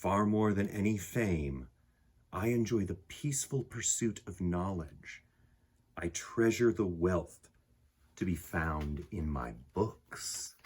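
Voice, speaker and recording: A man reading a picture book aloud to children, gently addressing his young listeners with a husky voice. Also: deep voice, slow pace. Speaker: storyteller, picture book reader.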